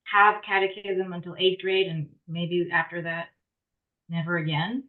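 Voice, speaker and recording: Lady with a lower voice, halting, repeats herself, sounds tinny like she's on a phone. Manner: soft, halting, repeats words. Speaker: course participant.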